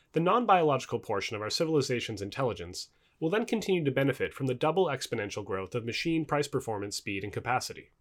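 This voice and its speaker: A male narrator reads formal non-fiction prose like an audiobook. His pitch moves in a lively way and he keeps up a quick pace, while each phrase stays clearly enunciated. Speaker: reader of a non-fiction book. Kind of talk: scripted audiobook-style narration.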